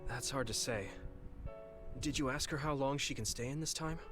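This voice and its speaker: Game role: open-hearted young male hero, man actor, medium pitch, eager and sincere, background music underneath. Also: normal speed, lightly acted. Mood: wistful, sincere. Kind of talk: English video game cutscene voice acting.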